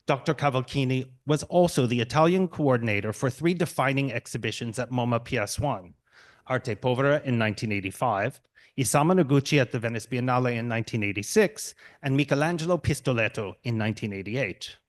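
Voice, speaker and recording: A man hosting an event, reading a measured, formal introduction of the speakers. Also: mid-range voice. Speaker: event host. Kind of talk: formal introduction read aloud.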